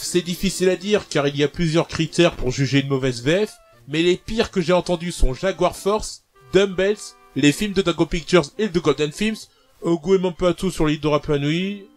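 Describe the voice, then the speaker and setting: Measured man reading out his picks. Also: a little high, soft background music. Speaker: fandub group member.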